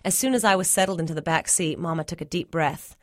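A woman reading a short story aloud with calm, measured narration. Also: sits low, no echo, studio quality. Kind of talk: reading literary fiction aloud.

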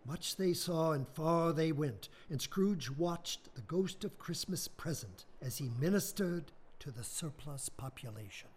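Measured male narrator, raised pitch and a husky edge. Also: lively intonation. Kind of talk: scripted storybook narration.

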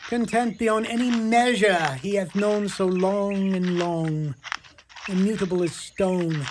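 A man's voice: high voice, steady tone, slow. An actor playing a bitter, penitent old king in archaic, theatrical radio-drama style. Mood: brooding, remorseful. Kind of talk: mock-Shakespearean radio drama acting. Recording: loud background noise, clicks.

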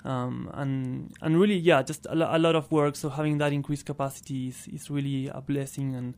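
A man's voice: medium pitch, steady tone, brisk, darkish tone. A man from Argentina, a native Spanish speaker with an Argentine accent, talking as a guest in a casual radio interview. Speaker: interview guest, clean transportation programme worker.

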